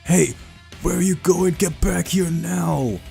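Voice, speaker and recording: A man's voice: high voice, slow pace, vocal fry, mellow, faint music behind, voice pushed up, exaggerated voice. A man voicing an earnest, worried young hero of a visual novel, urgent and insistent. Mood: angry alarm.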